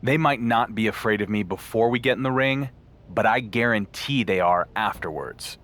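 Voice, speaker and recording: An actor playing a confident, sarcastic champion wrestler in a video game, with a dry, dismissive manner. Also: male voice, fairly low pitch, moderate pace, a bit bright, natural voice. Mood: cool, confident. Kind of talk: scripted video game cutscene acting.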